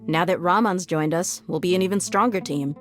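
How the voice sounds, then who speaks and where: A woman's voice: lowish voice, faint music behind, lightly acted. A woman playing a brash mercenary leader. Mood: upbeat. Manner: brisk, commanding, upbeat. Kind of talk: video game cutscene.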